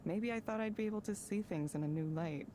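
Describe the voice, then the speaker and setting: A woman's voice: mid-range voice, fairly quick, dark tone, slight background noise, lightly acted. An actress voicing a subdued, guarded woman who speaks hesitantly and with understatement in a visual novel game. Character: subdued, hesitant woman, withdrawn former tech worker, guarded.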